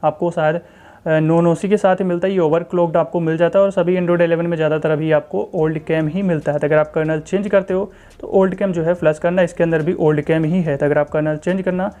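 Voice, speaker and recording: Higher-pitched, mellow Indian man explaining tech. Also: steady tone, brisk. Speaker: tech channel host reviewing phone software. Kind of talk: casual screen-recording walkthrough commentary.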